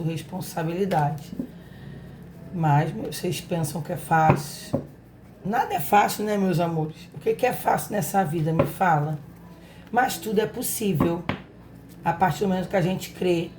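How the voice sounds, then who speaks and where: A Brazilian woman with a hoarse voice, giving a casual tarot reading and talking affectionately and directly to her viewers. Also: fairly low pitch, a little mellow, slight background noise. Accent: Brazilian Portuguese. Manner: affectionate, chatty, talks straight to viewers. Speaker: tarot reader, channel host. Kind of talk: casual spiritual reading to camera.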